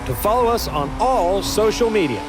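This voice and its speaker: An actor playing a confident, slick race event organizer in a video game cutscene, smooth-talking and promotional. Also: male voice, sits high, very animated, normal speed, noisy background. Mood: showy announcing.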